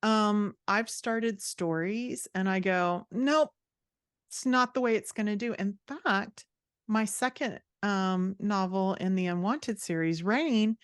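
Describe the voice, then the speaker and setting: A woman's voice: on the high side, no room sound, studio quality. A woman writing coach talking conversationally as an interview guest, giving blunt, emphatic advice and acting out quotes as she goes.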